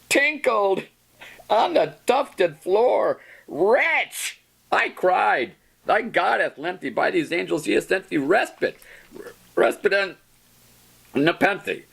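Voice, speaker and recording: A man with a gravelly, husky voice recites poetry in a demented, overwrought theatrical style. His pitch swings wildly across a broad range, with every phrase heavily dramatized. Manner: overwrought, melodramatic, swinging from whispers to shrieks.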